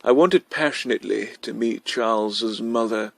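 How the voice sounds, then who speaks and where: A man's voice: fairly low pitch, normal speed, creaky, no room sound. A man narrating a short story from a script as a reader.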